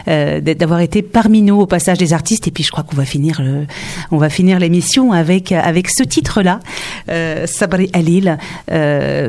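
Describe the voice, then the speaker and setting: A woman's voice: fairly low pitch, darkish tone. Hesitant woman radio host. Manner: hesitant, many fillers. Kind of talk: casual radio interview.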